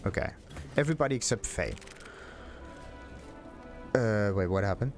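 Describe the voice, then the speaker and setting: Low-voiced man thinking aloud over game music. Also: animated. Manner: halting, puzzled thinking aloud. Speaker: let's play host. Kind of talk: casual game commentary.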